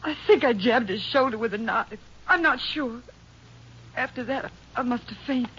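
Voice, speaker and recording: A woman acting a shaken young schoolteacher in a 1950s radio drama, halting and distressed. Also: fairly high pitch, a bit bright, husky. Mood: horrified, shaken. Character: shaken young female schoolteacher, frightened attack victim. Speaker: radio actor. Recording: muffled old 1950s radio recording.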